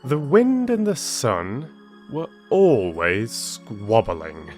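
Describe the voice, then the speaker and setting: A man narrating as an audiobook storyteller, with expressive, deliberate and dramatic phrasing, close to the microphone. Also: mid-range voice, faint music behind. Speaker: storyteller and channel host.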